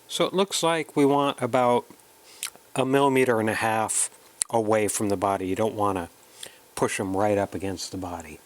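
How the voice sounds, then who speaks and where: A man narrating a hands-on tutorial in a casual, halting way, thinking aloud as he works. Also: lowish voice. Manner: unhurried, halting, thinking aloud while working. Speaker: electronics hobbyist presenting a kit build.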